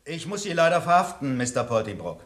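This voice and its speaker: An actor playing a formal, polite police detective inspector in a satirical retro radio crime play. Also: male voice, medium pitch, sing-song, brisk, fairly bright tone. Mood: formally apologetic.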